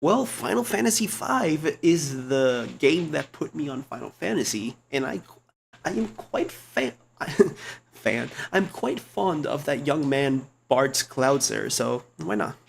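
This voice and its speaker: A young man with a low, gravelly voice rambles in casual slang, stumbling over his words and starting sentences again. He talks close to a desk mic at home, and a faint hiss sits under his voice. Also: expressive, slow. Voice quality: low speaking voice. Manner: rambling, slangy, many restarts and fillers. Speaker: streamer. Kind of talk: casual chat. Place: home computer room.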